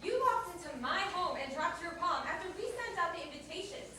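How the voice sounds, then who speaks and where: A bitter, jilted diner manager, played by a woman in a stage play, speaks in a high voice with curt sarcasm and accusation. She is heard from far off in a very echoey room. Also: lively intonation, fairly quick, bright, forceful tone, slight background noise, exaggerated voice. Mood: angry, indignant. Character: bitter jilted woman coffee-shop manager in 1976 New York. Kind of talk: staged reader's theater dialogue. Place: indoor performance room, distant microphone.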